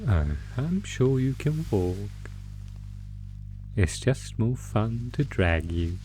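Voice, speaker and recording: A man playing a sadistic monster who taunts captive prey with theatrical, drawn-out menace in an ASMR horror audio roleplay. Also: mid-range voice, lively intonation, mellow, faint music behind. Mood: mocking, toying.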